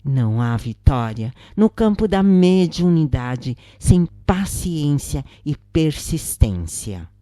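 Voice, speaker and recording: A Brazilian woman narrates a scripted account in a very low voice. Her delivery is slow and measured, with a soft, mellow tone. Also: sing-song, no echo, clean studio sound. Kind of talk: scripted narration of a first-person spiritist account.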